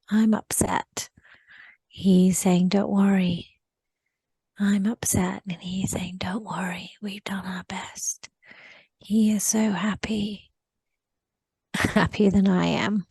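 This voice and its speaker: Woman whispering super slow, breathy and airy, flat even tone, dry close sound. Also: mid-range voice, no room sound. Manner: soft whisper, slow, repeats short phrases. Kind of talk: whispered first-person recollection in a guided session.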